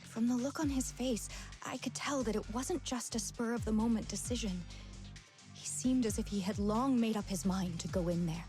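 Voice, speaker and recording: A woman playing a gentle, worried senior temple disciple in a video game story, speaking with solemn, measured earnestness. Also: fairly high pitch, close to an everyday voice. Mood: earnest, worried. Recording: game music behind the voice.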